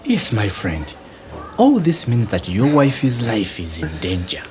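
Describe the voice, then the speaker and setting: A man's voice: fairly low pitch, huge pitch swings, unhurried. A man with a Ugandan accent acting a grave, careful, hesitant doctor in a radio drama. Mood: serious, explaining. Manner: hesitant, explanatory. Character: grave, careful male doctor breaking bad news. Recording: background ambience noise.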